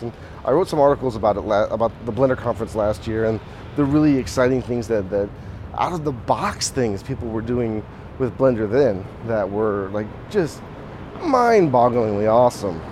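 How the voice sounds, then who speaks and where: A hoarse man hosting a podcast, talking casually while walking outdoors, rambling with restarts and small chuckles. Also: mid-range voice. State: hoarse, voice mostly shot. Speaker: podcast host. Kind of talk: casual walk-and-talk monologue. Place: outdoors on a city street. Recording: faint outdoor street noise. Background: street and traffic noise.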